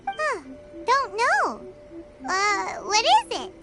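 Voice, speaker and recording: Very squeaky, excitable fairy girl. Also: very slow, heavily acted. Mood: puzzled. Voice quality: squeaky, childlike. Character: tiny childlike floating fairy companion girl, excitable, naive. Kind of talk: animated game character acting. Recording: children's-style music behind the voice.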